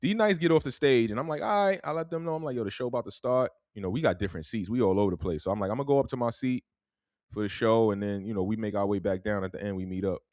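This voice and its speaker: A man's voice: lowish voice, very fast, a little mellow, no room sound, clean studio sound. Laid-back new york guy telling stories. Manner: laid-back, drawling storyteller, tags lines with "man". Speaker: podcast host. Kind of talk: casual storytelling.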